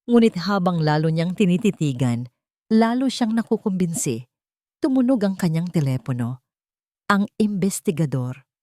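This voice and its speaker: A woman narrating a scripted audio drama in a steady, dramatic storytelling manner. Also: on the low side, normal speed, dry recording, studio quality.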